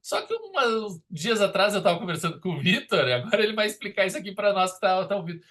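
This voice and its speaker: A man's voice: high-pitched, big pitch swings, fast talker, fairly bright tone. A man hosting a casual film discussion, emphatic and opinionated. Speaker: podcast host and film critic.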